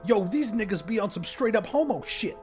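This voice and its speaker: Audiobook character: male narrator as brash, tiny loudmouth, high voice, swaggering slang, combative, music underneath. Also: expressive, brisk. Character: cocky, foul-mouthed tiny man with swaggering street slang.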